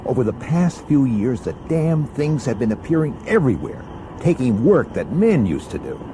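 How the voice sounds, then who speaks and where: An actor playing a hard-bitten, resentful police detective who narrates in a gruff, sardonic, irritable way in a radio drama. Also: male voice, mid-range voice, very animated, moderate pace, noisy background, natural voice. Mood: sardonic, grumbling. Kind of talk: radio drama acting with first-person noir narration.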